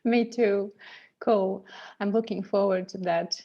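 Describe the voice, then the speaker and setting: Mellow-voiced woman host on a call. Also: mid-range voice, fairly even intonation, slow pace, gravelly, dull audio. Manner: hesitant, many fillers. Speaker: interview host. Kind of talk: interview, casual chat.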